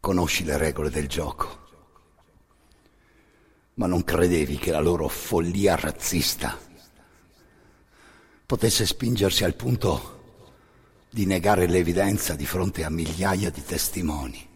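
Husky male dramatic storyteller with long reverb. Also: deep voice. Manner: incantatory repetition, intense phrasing with dramatic pauses. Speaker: actor narrating a stage monologue. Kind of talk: dramatic second-person storytelling, radio theatre. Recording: long reverberant hall sound.

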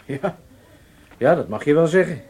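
A man's voice: a little high, animated, moderate pace, darkish tone, husky. Genial, swooping-voiced horseman. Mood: curious, concerned. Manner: hesitant, probing, affable. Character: genial, scheming German horseman posing as wealthy racing enthusiast. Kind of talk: Dutch radio drama acting, conversational.

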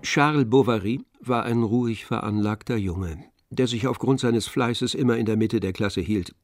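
A man's voice: medium pitch, moderate pace, dry recording, clean studio sound, faint music behind. A man reading literary narration as the storyteller of a radio play.

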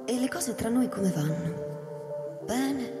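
An actress playing an eerie, childlike young woman who clings to her lover, speaking in a halting, bewildered, theatrical way. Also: a little high, expressive. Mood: probing. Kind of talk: radio theatre acting. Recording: echoey reverberant hall with music behind.

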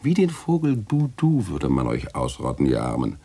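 A jovial, witty man of letters in a radio play. The voice is very low and husky, with big theatrical swings of melody. He speaks in florid, ironic phrases with a teasing edge. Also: huge pitch swings, moderate pace, warm tone, full character voice. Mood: musing, ironic. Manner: theatrical, chuckling irony with florid literary phrasing. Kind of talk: German radio play acting.

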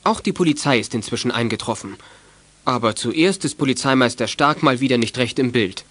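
A man serves as narrator of a children's audio drama. He reads scripted story passages in a voice of middle pitch. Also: a little fast, fairly bright tone, no echo.

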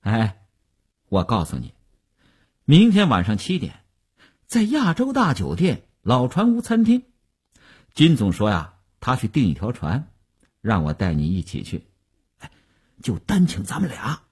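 A man's voice: medium pitch, huge pitch swings, darkish tone, breathy edge, exaggerated voice. A man playing a smug, boastful stock speculator who cajoles his partner in chatty audiobook dialogue. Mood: cajoling. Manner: chatty, cajoling, with drawn-out particles and chuckles.

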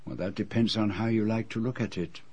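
A shrewd foreign detective in a radio drama, with a low, husky voice. He is grave and a little weary, choosing formal, deliberate words and pressing his point relentlessly. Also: fairly even intonation, a little mellow. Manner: formal, deliberate phrasing without contractions.

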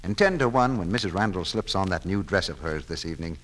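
Vintage radio announcer, man, lowish lively voice, emphatic scripted salesmanship. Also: quick pace. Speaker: announcer, pitchman. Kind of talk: 1940s radio advertisement, scripted. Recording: vintage 1940s radio, faint noise.

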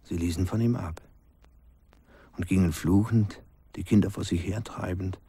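An actor playing a grim villager recalling cruel childhood events, deliberate and hushed, in a radio play. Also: male voice, sits low, exaggerated voice. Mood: grim, intense. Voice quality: gravelly, husky, breathy. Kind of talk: slow dramatic radio play storytelling.

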